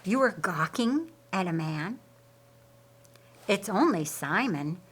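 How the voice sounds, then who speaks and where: A woman narrator voicing a teasing, reassuring brother in a Bible story, with wry, measured delivery. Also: lowish voice, animated, a bit bright, breathy edge, full character voice.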